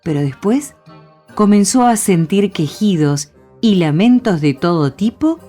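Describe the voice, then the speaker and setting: A woman's voice: medium pitch, lively intonation, unhurried, mellow, soft background music. A woman with an Argentine accent narrating a story for a radio play.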